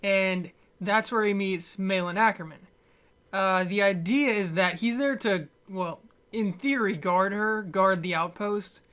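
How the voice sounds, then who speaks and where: A man's voice: high-pitched, a bit bright, clean tone. A man delivers an unscripted, conversational movie rant. He rambles and stumbles as he looks for the right word, dropping wry, dismissive asides along the way. His voice sits at an ordinary, unforced level. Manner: rambling, halting, stumbling over words. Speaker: amateur movie reviewer.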